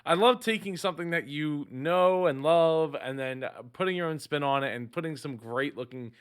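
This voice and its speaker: A man's voice: a little high, clean tone. A man hosting a casual reaction show, talking with enthusiasm, with lots of fillers and restarts. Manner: halting, many fillers and restarts; upbeat and enthusiastic. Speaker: business reaction host.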